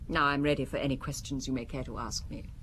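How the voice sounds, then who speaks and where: A woman's voice: rumbling low, steady tone, quick pace, a bit bright, slight background noise. An actress playing a cool, proud married businesswoman in a radio drama, composed, articulate and faintly contemptuous. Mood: composed, uneasy. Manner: composed, haughty, articulate, defensive.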